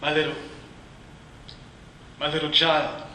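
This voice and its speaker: Grieving father in a radio play, bright tone, halting and soft, played by a man, heard from across an echoey room. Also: a little high, completely flat delivery, a little hiss or hum. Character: grieving gentle clerk and father. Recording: roomy, distant from the mic.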